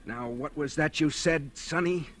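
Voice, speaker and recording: An actor playing a cool, wry, commanding bank robber in a 1940s radio thriller, speaking tersely. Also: male voice, a little slow, fairly bright tone. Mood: tense, commanding. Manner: terse, sardonic, authoritative. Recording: noisy old radio recording, engine rumble.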